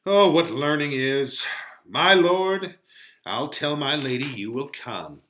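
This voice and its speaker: A man's voice: a little high, expressive, slow, fairly bright tone. A man voicing an older woman servant, an admiring, fussing nurse, in a dramatic reading of Shakespearean verse.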